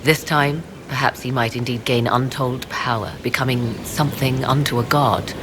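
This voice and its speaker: An actress playing a mysterious, proud sorceress in a video game, speaking in formal, archaic and measured phrases with a low, creaky voice. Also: female voice, heavy background noise. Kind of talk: video game dialogue acting.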